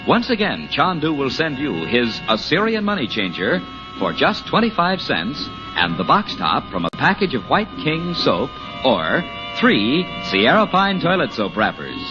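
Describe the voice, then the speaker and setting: A dramatic male announcer of 1940s radio, reading a script with wide swings of pitch. His delivery is emphatic. The old recording is muffled, with clear music behind. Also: huge pitch swings, a little slow.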